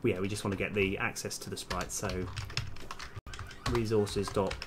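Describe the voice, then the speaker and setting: A man live-streaming as a game developer, casually thinking aloud in halting mutters as he works. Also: on the low side, steady tone, background noise. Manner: halting, muttering to self while working. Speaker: game developer livestream host. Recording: music behind the voice. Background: music, computer keyboard typing.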